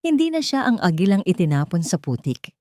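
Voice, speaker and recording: A woman's voice: fairly low pitch, big pitch swings, fairly quick, mellow, no room sound, studio quality. A woman storyteller narrating a scripted romance drama earnestly and politely, addressing her listeners.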